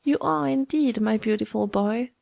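A woman's voice: a little high, normal speed, vocal fry, darkish tone, no echo. A woman voicing a sly, domineering old woman schemer in a storybook, with a taunting, wheedling manner. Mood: wheedling, gloating. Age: older.

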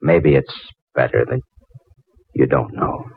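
An earnest, absorbed inventor from a 1940s drama. His low voice swings expressively as he thinks aloud, heard on a narrow, muffled old recording. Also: very animated, takes it slowly, vocal fry, a little hiss or hum, exaggerated voice. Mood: earnest, hesitant. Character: earnest, absorbed, slightly awkward male inventor. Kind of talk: 1940s radio drama acting.

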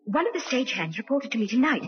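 An actress playing an anxious, deferential theatre assistant in an old radio drama, earnest and polite. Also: female voice, on the high side, big pitch swings, fast talker, husky, full character voice. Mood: anxious, helpful. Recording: dull, hissy old radio recording.

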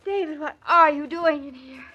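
Young wife, high pitched, sharp and bright, playful, fussy, teasing, 1940s radio drama. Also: female voice, muffled recording. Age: young adult. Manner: teasing, fussy, quick to banter. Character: playful, nagging young wife and new mother.

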